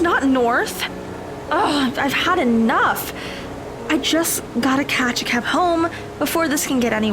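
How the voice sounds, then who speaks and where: Lady acting a worried teen girl thinking out loud, audio drama, high pitched, clear background noise. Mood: frustrated, puzzled. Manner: fretful, thinking aloud. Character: anxious, self-questioning teenage girl talking to herself. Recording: stormy ambience noise behind voice.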